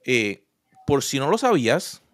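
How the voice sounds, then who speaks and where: A man's voice: mid-range voice, very animated, a little slow, dry recording, studio quality. A man with a Puerto Rican Spanish accent, telling personal stories in an earnest, reflective conversation. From Puerto Rico. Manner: earnest, storytelling with personal examples.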